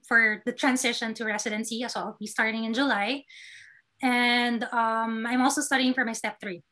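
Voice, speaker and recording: A woman's voice: on the high side, pushed and bright. A young woman from the Philippines with a Filipino accent, answering casually as an interview guest with hesitant phrasing. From Manila, Philippines. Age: young adult. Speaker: interview guest, newly matched doctor.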